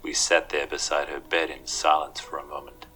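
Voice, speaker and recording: Very deep-voiced male horror narrator. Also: completely flat delivery, normal speed, pushed and bright, slight background noise. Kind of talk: scripted first-person bedtime horror narration. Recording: music under the voice.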